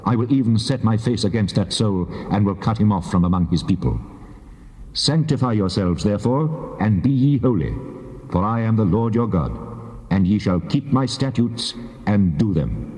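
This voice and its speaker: Man acting as God, low and echoing, solemn lawgiver. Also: mellow, close to an everyday voice. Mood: stern, grave. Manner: solemn, commanding, formal archaic delivery. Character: God giving stern laws, solemn male deity. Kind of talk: dramatized King James scripture reading. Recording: echoing long-reverb hall sound.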